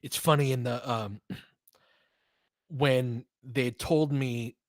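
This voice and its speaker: A young man doing live play-by-play commentary, excitable, with quick asides and frequent laughs. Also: medium pitch, dry recording, studio quality. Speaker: fan play-by-play host.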